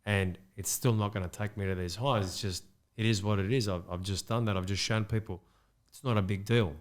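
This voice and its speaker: A man's voice: on the low side, fairly even intonation, brisk. A man hosting a podcast interview, reflective and supportive, with frequent agreeing murmurs. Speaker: podcast host.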